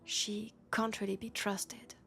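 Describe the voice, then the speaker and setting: A woman with a French accent playing a weary, guarded policewoman confiding quietly and hesitantly in an intimate sci-fi audio roleplay. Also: mid-range voice, flat delivery, takes it slowly, creaky, faint music behind, exaggerated voice. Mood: uneasy, confiding.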